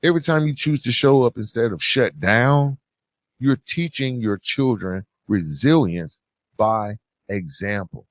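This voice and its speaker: A man's voice: medium pitch, lively intonation, unhurried, no echo, studio quality. A man hosting a podcast, speaking in a conversational, motivational way and addressing listeners directly, leaning on words for emphasis. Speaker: podcast host.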